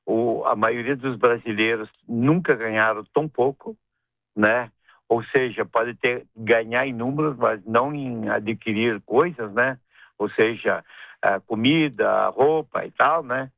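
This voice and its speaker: A middle-aged man with a gaúcho accent from southern Brazil, a businessman guest talking in a radio interview, rambling and halting with many restarts and tag questions. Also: mid-range voice, gravelly, no room sound. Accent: Gaúcho Portuguese. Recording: tinny phone-line radio sound.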